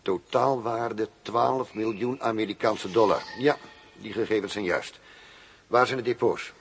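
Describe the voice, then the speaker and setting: An actor playing a cool, demanding arms buyer in a radio drama, formal, firm and self-assured. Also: male voice, lowish voice, measured pace. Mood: firm, businesslike. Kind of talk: Dutch radio drama acting. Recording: noisy old radio drama recording.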